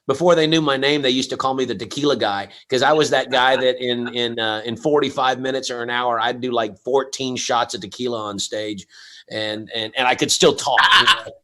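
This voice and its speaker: A middle-aged man hosting a comedy livestream, chatting in a rambling, excitable way with lots of stammered restarts. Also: mid-range voice, fairly quick. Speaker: comedy show host and tequila promoter. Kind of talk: casual banter on a livestream.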